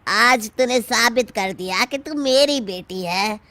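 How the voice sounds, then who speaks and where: A woman voicing a crafty, boastful middle-aged mother in an animated comic story, bossy and theatrical. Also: fairly high pitch, slow, no echo. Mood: smug.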